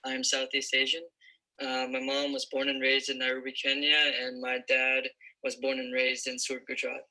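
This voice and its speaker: A man's voice: medium pitch, monotone, normal speed, sharp tone, poor audio. A young man giving a casual personal testimonial into a phone indoors. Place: indoors at home.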